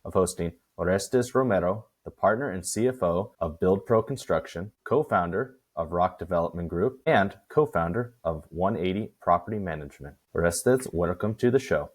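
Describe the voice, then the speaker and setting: A man's voice: low voice, lively intonation, normal speed, few pauses, slightly rough. A man hosting a podcast interview, asking friendly questions in an upbeat way. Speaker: podcast host.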